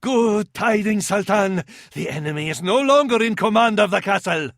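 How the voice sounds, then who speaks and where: An actor with an Arabic accent playing a fervent, scornful medieval advisor to a sultan in a video game, in a florid, declamatory style. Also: male voice, sits high, animated, moderate pace, vocal fry, a bit bright, dry recording, studio quality, heavily acted. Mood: triumphant, devout. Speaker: battle advisor in a strategy game. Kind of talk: theatrical video game voice acting.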